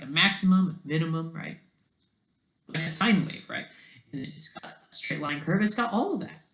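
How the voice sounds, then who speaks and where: Mid-pitched voice, amateur creator, off-the-cuff rambling, unfinished sentences, frequent questioning tags. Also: a bit bright. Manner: tags statements with "right?".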